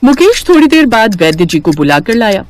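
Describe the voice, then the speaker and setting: Expressive woman story narrator. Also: on the high side, a little fast, dry recording, clean studio sound. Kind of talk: scripted children's story narration.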